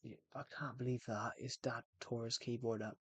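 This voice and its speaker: A man's voice: mid-range voice, level tone, normal speed, a bit bright, breathy edge, no room sound. Rambling man streaming a game, dry sound. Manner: rambling, repeats and restarts phrases. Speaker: gaming streamer. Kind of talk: casual livestream chat while playing.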